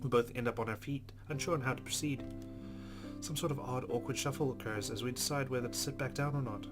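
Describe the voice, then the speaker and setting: A male let's player reads a visual novel's narration aloud in a mid-pitched voice. His reading is measured and expressive, mixed with casual asides. Plucked guitar game music plays clearly behind him. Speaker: let's play host.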